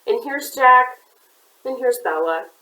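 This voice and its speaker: A woman's voice: high-pitched, expressive, slow pace, pushed and bright, slight background noise. Woman reading a picture book aloud. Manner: chatty asides to young listeners. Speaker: children's librarian. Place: library. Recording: roomy echoey room.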